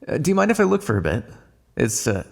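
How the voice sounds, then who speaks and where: A flirtatious male vampire, acted by a man, murmurs in an intimate ASMR roleplay. His voice is husky and breathy with a creaky fry, and it swings freely up and down as he teases. He comes across as smug and predatory, yet looks after his prey. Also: medium pitch, huge pitch swings, quick pace, heavily acted. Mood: smooth, appreciative. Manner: teasing, drawling asides with soft sighs and chuckles. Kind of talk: ASMR roleplay, intimate second-person acting.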